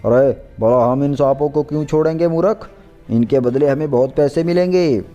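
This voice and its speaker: A man playing a mercenary, boastful snake poacher in an animated story, speaking conversationally. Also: a little high, normal speed, highs cut off, faint music behind, natural voice. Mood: scornful. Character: mercenary male snake poachers, gruffly dismissive.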